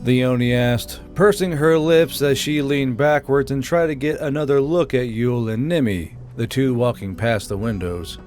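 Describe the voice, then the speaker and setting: A man's voice: medium pitch, normal speed, few pauses. A man reading scripted fiction narration as the narrator of an audiobook. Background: soft background music.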